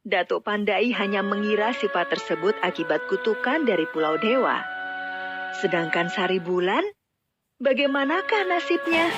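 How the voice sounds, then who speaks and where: A woman narrating a scripted fairy tale as a storyteller. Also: mid-range voice. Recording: dull lo-fi audio, music bed. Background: background music.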